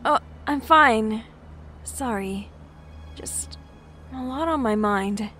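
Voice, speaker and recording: A woman's voice: a little high, takes it slowly, fairly bright tone, slight background noise. A woman playing a friendly but anxious young commuter in an audio drama, earnest and a little hesitant. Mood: uneasy, hesitant. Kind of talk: naturalistic audio drama acting.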